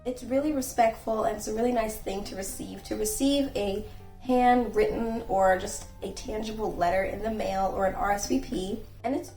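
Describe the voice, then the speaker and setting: A young woman talks through etiquette tips in an earnest, gently instructive way, her voice sitting a little high. She sounds set back from the mic in an echoey room, with faint music underneath. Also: recorded from a distance. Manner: earnest and gently instructive, acts out sample phone calls. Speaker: channel host and etiquette creator. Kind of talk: casual etiquette advice vlog.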